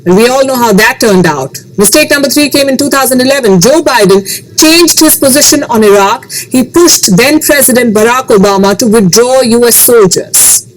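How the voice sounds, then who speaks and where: A woman hosting a scripted news commentary, speaking emphatically with rhetorical questions and dramatic pauses. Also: on the high side, poor audio.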